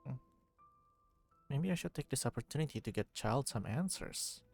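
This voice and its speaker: A man's voice: medium pitch, expressive, moderate pace, creaky, a little mellow, faint music behind. A man streaming a video game, giving casual commentary and thinking aloud as he plays.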